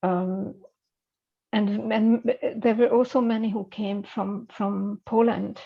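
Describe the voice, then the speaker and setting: A woman's voice: mid-range voice, steady tone, slow pace, vocal fry, husky, muffled recording. A woman speaking as a scholar in an interview, hesitant and thoughtful, full of fillers and restarts. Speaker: scholar guest. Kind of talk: conversational interview.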